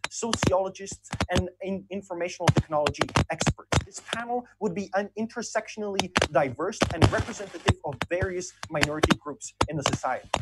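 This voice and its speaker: A debater giving a formal, structured competitive speech, signposting each point, over a video call. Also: medium pitch. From Czech Republic. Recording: loud background noise.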